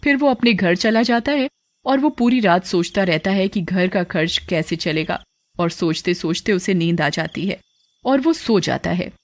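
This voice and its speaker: A woman reading a scripted cartoon story as narrator, in a plain, measured storytelling manner. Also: lowish voice, animated.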